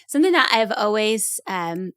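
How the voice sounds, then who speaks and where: A woman's voice: mid-range voice, sing-song, normal speed, clean tone, dry recording, studio quality. A woman hosting a podcast, chatting with a family member and asking long, winding questions. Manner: warm, curious, asks long rambling questions. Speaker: podcast host. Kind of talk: casual interview chat.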